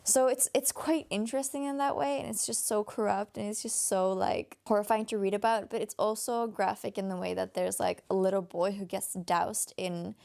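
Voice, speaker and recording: Tired raspy woman, rambling review. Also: mid-range voice, steady tone, normal speed, few pauses, darkish tone. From Denmark. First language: Danish. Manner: rambling, many fillers and restarts. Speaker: book vlogger. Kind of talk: casual book review vlog.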